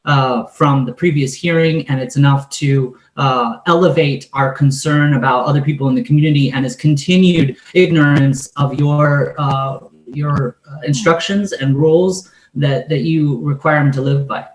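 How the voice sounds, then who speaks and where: A man arguing as an attorney to a judge in a measured, formal, somewhat hesitant way, in an echoing room. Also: fairly high pitch, fairly even intonation. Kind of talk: courtroom argument to a judge.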